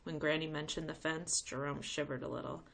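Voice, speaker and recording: Creaky-voiced woman reading a storybook. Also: low voice, steady tone, brisk, fairly bright tone. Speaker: teacher reading a book aloud. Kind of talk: expressive children's storybook narration.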